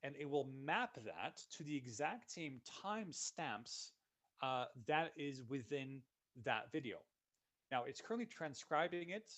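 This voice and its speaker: A man, a solutions consultant giving a relaxed, conversational walkthrough of software in a live webinar. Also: a little high, a little slow. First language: Dutch. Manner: relaxed, conversational walkthrough narrating each click.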